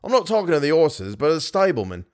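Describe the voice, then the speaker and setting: A man's voice: fairly high pitch, big pitch swings, quick pace, voice pushed up. A man voicing an irritable, stubborn head riding master in a story, dry and insistent.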